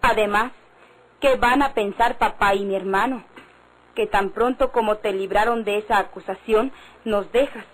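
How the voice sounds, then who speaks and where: A woman's voice: medium pitch, steady tone, normal speed, lightly acted, low-quality recording. A woman playing a genteel, insistent young lady in a Mexican radio drama, speaking in a refined, earnest way. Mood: gently insistent. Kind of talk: old-time radio drama acting.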